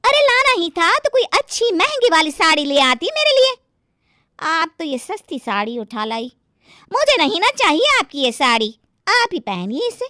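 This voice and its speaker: A woman voicing a fussy, coy young village daughter-in-law in an animated story, with drawn-out, whiny delivery. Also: very high voice, dry recording, studio quality, exaggerated voice. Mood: petulant, scornful. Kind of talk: Hindi animated moral story acting.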